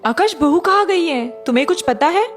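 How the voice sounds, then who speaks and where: Elderly grandmother, acted by a woman, high pitch, caring, worried, earnest, cartoon drama, background music. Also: expressive, a little fast. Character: kindly worried elderly grandmother and mother-in-law in a family. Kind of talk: Hindi moral-story cartoon dubbing.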